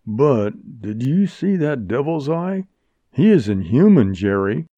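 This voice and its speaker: A man's voice: medium pitch, very animated, slow pace, dark tone, no echo, dull audio, voice pushed up. Man acting a timid, faltering young man. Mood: fearful. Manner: hesitant, faltering. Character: timid, miserable young man, cowardly actor under pressure.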